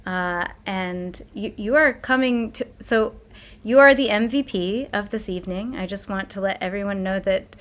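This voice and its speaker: A woman hosting an online concert, introducing it in a casual, chatty way with trailing pauses. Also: mid-range voice. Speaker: host and cellist introducing a concert.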